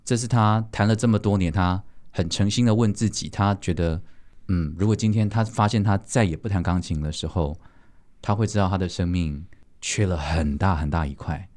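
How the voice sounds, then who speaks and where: Male host, Taiwanese Mandarin accent, lowish voice, conversational storytelling for a relaxed panel. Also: normal speed. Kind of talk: conversational anecdote and moderating.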